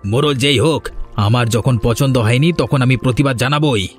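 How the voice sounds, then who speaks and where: A man's voice: mid-range voice, moderate pace, soft background music, close to an everyday voice. A man voicing a scheming, cowardly landlord's servant in a cartoon drama, argumentative and insistent. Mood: pushy, threatening. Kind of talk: Bengali cartoon drama dialogue.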